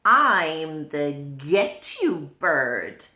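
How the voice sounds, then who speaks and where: A woman voicing a menacing, hungry male bird of prey in a deliberate, gloating storybook manner. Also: sits low, very animated, bright, forceful tone, echoey room, voice pushed down, exaggerated voice. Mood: sly menace.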